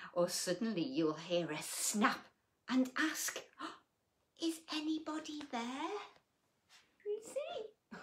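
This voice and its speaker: A woman reading a children's picture book aloud as a story host, expressively, with hushed asides and questions to her young listeners. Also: mid-range voice.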